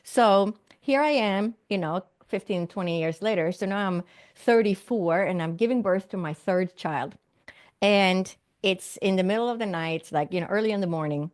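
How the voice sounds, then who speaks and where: A woman's voice: medium pitch, moderate pace, a little mellow. A middle-aged woman from Sweden, a physician and medium, telling her story earnestly in an interview with light laughs.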